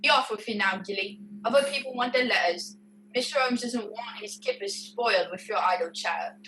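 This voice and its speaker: A woman playing a brisk, bossy, rather sour female innkeeper in a radio play. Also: medium pitch, noisy background, close to an everyday voice. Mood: scolding. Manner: bossy, no-nonsense.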